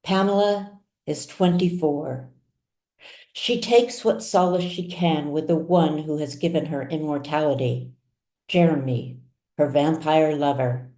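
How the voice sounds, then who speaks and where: A woman reading her own fiction aloud as scripted audiobook narration, with measured, deliberate phrasing and dramatic pauses. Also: deep voice, warm tone, reverberant. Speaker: author reading her own serialized novel.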